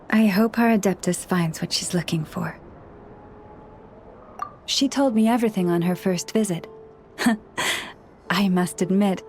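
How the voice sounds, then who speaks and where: A woman voicing a gentle, gracious young priestess in a video game, speaking in a formal, measured, polite way. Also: mid-range voice, lightly acted. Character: gentle, gracious young female moon priestess, serenely courteous. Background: background music.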